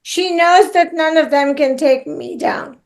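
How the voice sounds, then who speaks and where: A woman with a very high voice and a gravelly edge hosts a casual conversation. She speaks slowly and cuts in eagerly, asking for points to be repeated. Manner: eager interjections, asks to hear points again. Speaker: host.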